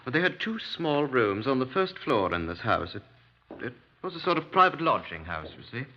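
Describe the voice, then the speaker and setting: An actor playing an earnest, agitated gentleman witness in a 1950s radio drama, hesitant, wordy and apologetic. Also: medium pitch, very animated, normal speed, a little hiss or hum, lightly acted. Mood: recollecting. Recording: dull 1950s radio recording.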